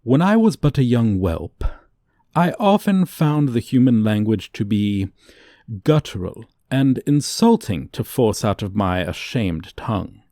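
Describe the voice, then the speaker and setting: A man's voice: medium pitch, big pitch swings, unhurried, warm tone, no room sound, studio quality. A man voicing a haughty dragon professor in an ASMR roleplay, condescending to a human student in pompous, archaic, formal diction. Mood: lofty, disdainful.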